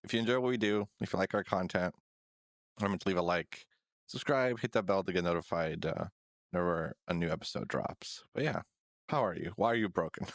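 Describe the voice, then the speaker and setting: A man hosting a casual podcast, easygoing, chuckling and a bit halting. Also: deep voice, no echo, clean studio sound. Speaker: podcast host. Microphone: studio microphone.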